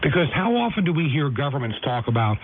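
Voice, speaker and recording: A man's voice: on the high side, sing-song, fast. A man hosting conversational talk radio, rambling along and asking rhetorical questions. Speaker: radio host. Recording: tinny, noisy shortwave radio band.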